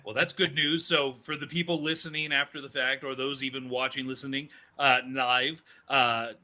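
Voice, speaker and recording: Casual male interview host, very bright and forceful tone, middle pitch, informal and joking. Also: flat delivery, moderate pace. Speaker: host of an audio drama interview show. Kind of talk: casual chat, hosting and signing off.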